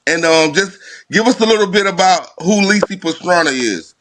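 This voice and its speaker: A man hosting a podcast, opening the show with energetic, rhythmic, half-sung hype before he welcomes a guest. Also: sits high, normal speed, slight background noise, poor audio. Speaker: podcast host. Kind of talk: hyped show intro and interviewing.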